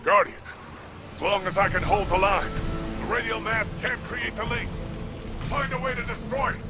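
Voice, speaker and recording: An actor playing a resolute, self-sacrificing veteran sci-fi warrior in a game cutscene, with a gravelly, urgent delivery. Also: male voice, high-pitched, fairly even intonation, normal speed, over loud music. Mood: urgent, straining. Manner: forceful, urgent, heroic. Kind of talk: video game cutscene acting.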